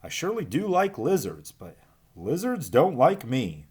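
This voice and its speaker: A man's voice: fairly high pitch, wildly swooping pitch, unhurried, darkish tone, slightly rough, voice pushed up, exaggerated voice. A man voicing a grumbling, lazy old snake from a children's storybook, groaning as he talks.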